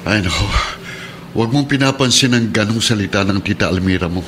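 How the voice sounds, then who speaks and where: A man's voice: lowish voice, a little fast, a bit bright, a little hiss or hum, natural voice. A man playing a kindly, protective adoptive father with a Filipino accent in a radio drama. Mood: reassuring. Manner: reassuring.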